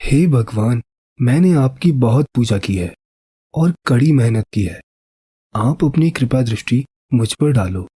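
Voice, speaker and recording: A man voicing a poor, earnest young village painter and devoted husband in an animated story, sincere and proud of his craft. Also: medium pitch, a little slow, slightly rough, no room sound. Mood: praying, pleading.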